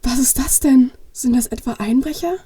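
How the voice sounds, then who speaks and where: A woman's voice: sits high, normal speed, mellow, roomy sound, close to an everyday voice. A woman playing a curious, plucky six-year-old girl with an eager, exclaiming manner in a children's radio play. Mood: startled, worried.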